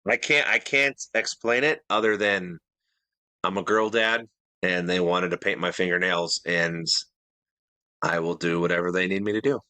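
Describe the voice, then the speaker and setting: Man, crisp forward tone, lowish pitch, casual podcast banter, co-host. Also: moderate pace, bright, forceful tone, no echo.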